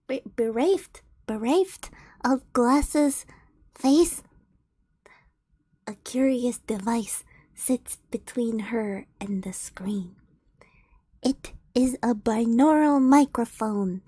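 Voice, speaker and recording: A woman's voice: fairly high pitch, dark tone, breathy edge, no echo. A young woman streams and reads fanfiction aloud in a giggly, flustered way, with frequent laughs. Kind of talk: casual stream chat and read-aloud.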